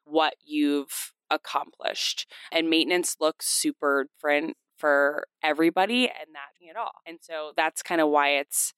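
A woman hosting a podcast in casual chat, a bit nasal from a cold, talkative and quick to laugh. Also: low voice, fairly even intonation, a bit bright, smooth, dry recording. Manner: chatty, laughs often, fast bursts. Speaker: podcast host.

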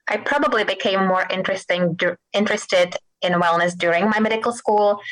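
A middle-aged woman from the Czech Republic with a Czech accent, a guest physician in an interview, talking thoughtfully and pausing to search for words. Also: lowish voice, fairly bright tone, poor audio. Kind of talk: interview conversation.

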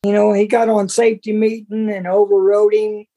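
A man's voice: sits high, flat delivery, normal speed, warm tone. A man co-hosting a sports podcast, giving casual commentary in a folksy, colloquial way. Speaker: podcast co-host.

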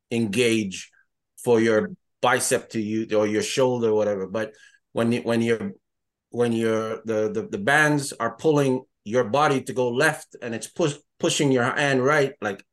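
A man, a fitness trainer, explaining his training program in casual podcast chat, often restarting and repeating words. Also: mid-range voice. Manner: halting, repeats words, easygoing. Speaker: fitness trainer and product creator.

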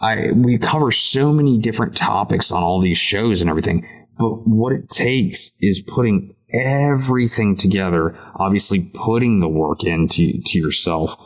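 A man hosting a casual podcast, speaking earnestly and haltingly, often repeating words as he goes. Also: fairly low pitch. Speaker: podcast host.

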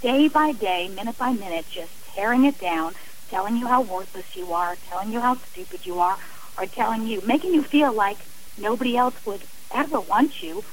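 A woman's voice: fairly high pitch, expressive, moderate pace, rough recording. A woman speaking as an author and guest on a radio interview, earnest and emphatic, often repeating phrases for stress.

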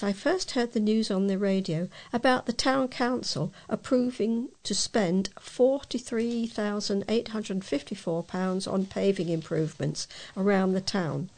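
British female volunteer reader for printed letters, mid-pitched with gravelly fry. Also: darkish tone. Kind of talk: reading readers' letters aloud.

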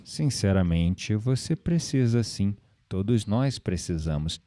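An actor playing a gentle, earnest spiritual confidant with a Brazilian accent in an audio drama. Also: male voice, lowish voice, expressive, takes it slowly. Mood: gentle, mysterious.

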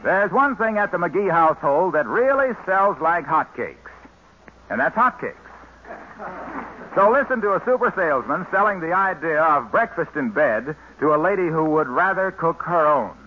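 A man's voice: fairly high pitch, very animated, normal speed, narrow, tinny audio, a little hiss or hum. An American man announcing an old-time radio show, with a polished salesman's delivery in vintage broadcast style. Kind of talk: 1940s-50s radio announcing and sponsor pitch.

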